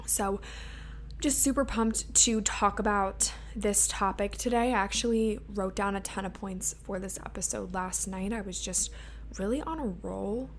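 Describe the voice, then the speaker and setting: Young woman podcast host, slightly raspy, chatty and candid, medium pitch, faint hum behind. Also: unhurried. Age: 20s. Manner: chatty and open, many "like" fillers. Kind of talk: casual solo podcast talk.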